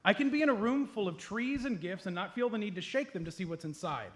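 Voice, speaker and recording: Man playing a high-voiced, boyish, impulsive sidekick. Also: animated, fast. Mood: defensive. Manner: eager, melodramatic. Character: boyish, impulsive sidekick with a gift-shaking compulsion. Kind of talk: live comedy radio play acting. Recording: live stage, faint noise.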